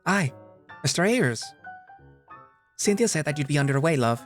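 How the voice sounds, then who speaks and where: A man voicing a brisk, unflappable female squirrel barkeep with a thick Scottish accent, plainspoken and calling people love and lads. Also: a little high, animated, soft background music, pitched above the performer's own voice, close to an everyday voice.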